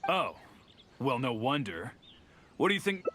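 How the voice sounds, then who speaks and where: An actor playing a snide, mocking guard who gossips with sarcasm in a video game. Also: male voice, medium pitch, very animated, measured pace, fairly bright tone, slight background noise. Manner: sarcastic, dry mockery.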